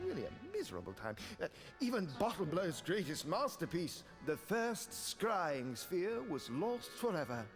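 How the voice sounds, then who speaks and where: An actor playing a fussy, effusive glassmaker guide in a fantasy game, theatrical and dithering. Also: male voice, high voice. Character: fussy, chattering male glassmaker guild guide. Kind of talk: game voice acting.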